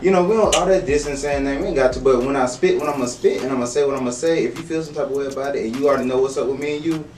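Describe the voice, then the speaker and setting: A young man, a rapper, talking about his music in a confident, animated, slangy way. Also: medium pitch, racing, hardly any pauses, a little hiss or hum. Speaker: rapper, interview guest. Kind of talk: casual interview chat. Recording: echoey room, distant mic, faint music.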